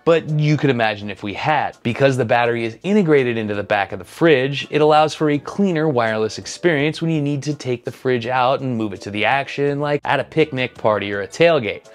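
A man's voice: mid-range voice, a little fast, hardly any pauses. A man in his thirties giving a scripted gear review in a dry, matter-of-fact, slightly sardonic manner, recorded on a lapel mic indoors.

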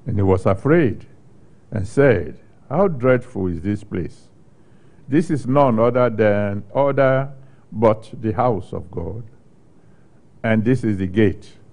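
An older man from Nigeria preaching a sermon and reading scripture, speaking slowly and deliberately with long pauses into a handheld microphone on a studio stage. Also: mid-range voice, darkish tone. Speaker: pastor. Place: studio stage with a large screen behind.

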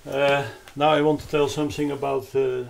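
An older man with a Dutch accent explains a product off the cuff, haltingly searching for words. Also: mid-range voice, level tone, creaky. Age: 60s or older. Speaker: loudspeaker designer presenting his product. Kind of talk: unscripted explanatory product talk. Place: carpeted, wood-panelled listening room indoors.

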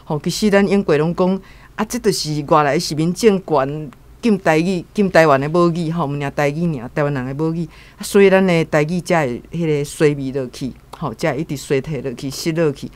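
A woman's voice: deep voice, a little fast, a little mellow. A middle-aged woman with a Taiwanese accent giving earnest, admonishing commentary as a guest. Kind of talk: reflective spoken commentary.

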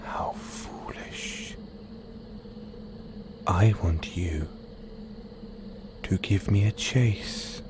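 A man voicing a predatory, possessive werewolf in an intimate audio roleplay, in a breathy, seductive, menacing whisper. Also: low voice, expressive, very slow, long pauses, background noise, heavily acted. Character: predatory, possessive male werewolf stalking his chosen mate.

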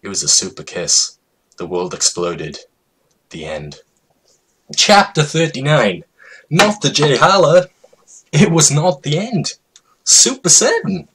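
A man with a husky voice giving a mock-dramatic, emphatic comedic reading of a story as its narrator. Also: mid-range voice, fairly bright tone.

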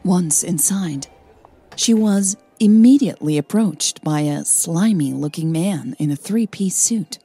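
A woman narrates a scripted audio story. Her voice is slightly low and dark, with a husky grain. She reads as a storyteller. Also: unhurried, soft background music.

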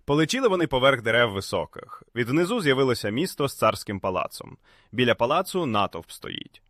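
A male storyteller reads a scripted fairy tale expressively. His voice sits at an average pitch and moves widely up and down. The recording is dry and close. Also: very animated, a little fast, a bit bright, no echo.

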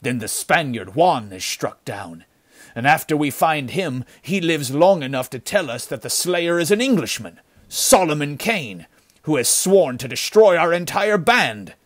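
A man voicing a frightened, malevolent rat-like bandit in an urgent, excitable way for an adventure story. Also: fairly high pitch, measured pace, no room sound, clean studio sound, voice pushed up. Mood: alarmed, urgent. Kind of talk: dramatic storybook character acting.

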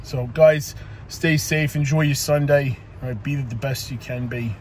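A man's voice: mid-range voice, steady tone, unhurried, slight background noise. A man in his forties, a police officer, giving a casual motivational talk into a phone camera in his parked car, rambling with many ums and likes and casual swearing. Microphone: phone camera on the dashboard.

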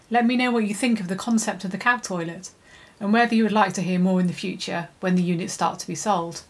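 A middle-aged woman, an environmental consultant, giving a measured, scripted educational presentation to camera in a studio. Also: on the low side. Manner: measured, clear, steady delivery. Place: indoor studio, plain background.

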